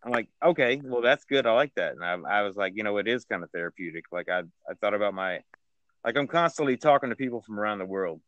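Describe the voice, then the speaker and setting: A man's voice: fairly low pitch, animated, fast, fairly bright tone, no room sound, highs cut off. A man hosting a casual podcast chat, stammering and repeating words, with laid-back slang.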